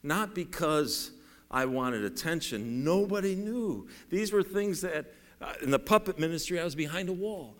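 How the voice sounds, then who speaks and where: A middle-aged man with a bass voice preaching a sermon in a folksy, self-deprecating way, rising to raised, urgent preaching. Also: animated, normal speed. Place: church sanctuary. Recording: long reverb in a large hall.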